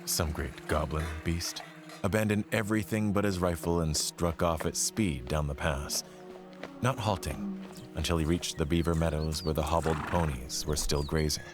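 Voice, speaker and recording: A man gives a measured, solemn, literary narration of a scripted horror tale. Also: rumbling low. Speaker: storyteller narrating an audio play. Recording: music behind the voice. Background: background music.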